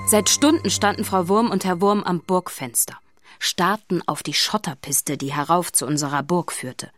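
An actress playing a chatty, easygoing castle maid who narrates a children's audio drama in a confiding, conversational way. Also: female voice, fairly low pitch, moderate pace, no echo, soft background music, close to an everyday voice. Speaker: first-person narrator. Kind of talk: scripted storytelling for children.

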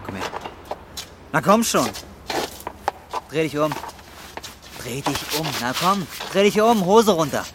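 A man's voice: fairly high pitch, big pitch swings, slow pace, long pauses, noisy background. An actor in a radio drama playing a desperate, bitter young man in his twenties, slangy and foul-mouthed. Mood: bitter, menacing. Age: 20s. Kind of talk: radio drama acting and narration.

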